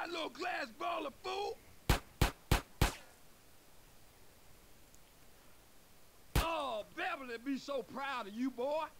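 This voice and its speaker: An actor playing a boastful, philosophizing young American gangster in a video game. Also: male voice, very high-pitched, fairly bright tone, a little hiss or hum, full character voice.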